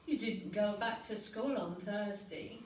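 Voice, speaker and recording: A woman reading a picture book aloud to young children as a storyteller, unhurried, expressive and gently dramatic. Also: medium pitch. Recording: roomy, noisy recording, distant mic.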